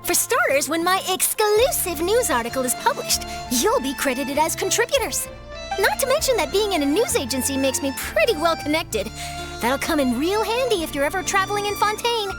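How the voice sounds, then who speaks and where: A woman's voice: very high-pitched, sing-song, brisk, music in the background. A woman voicing an eager young female news reporter in a video game, perky, persuasive and theatrical. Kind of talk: animated video game voice acting.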